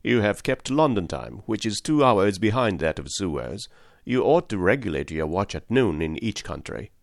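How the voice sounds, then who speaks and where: A man's voice: fairly low pitch, sing-song, normal speed, gravelly, dry recording, clean studio sound. A man reading an audiobook voices a suspicious male detective who asks short probing questions.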